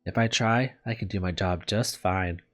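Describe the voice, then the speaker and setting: A man's voice: low-pitched, normal speed, close to an everyday voice. A man voicing an anxious, self-doubting young woman teacher sick in bed in a visual novel, hesitant and self-pitying, with music behind. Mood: glum, apologetic. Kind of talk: visual novel read aloud. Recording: background music under the voice.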